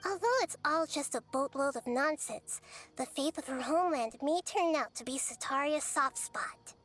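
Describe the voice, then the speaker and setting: A woman voicing a small, wise, gentle girl deity in a video game, speaking in a measured, thoughtful, explanatory way. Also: high voice. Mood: calm, analytical. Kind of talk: video game character dialogue. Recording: music behind.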